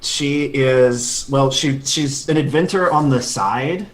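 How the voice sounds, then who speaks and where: A man playing a tabletop role-playing game, describing his character in halting, thinking-aloud speech. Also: mid-range voice. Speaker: player. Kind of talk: casual tabletop role-play chat.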